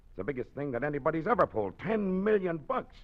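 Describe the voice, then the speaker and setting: A man's voice: a little high, sing-song, quick pace. An actor playing a scheming gangster boss in a 1940s radio drama, talking in a hard, clipped tough-guy manner. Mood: menacing. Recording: dull old radio broadcast.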